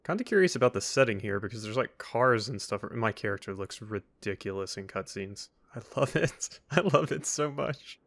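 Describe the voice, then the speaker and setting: A man's voice: medium pitch, lively intonation, normal speed, vocal fry, hardly any pauses, fairly bright tone, dry recording. A man giving casual let's play commentary with wry, joking asides.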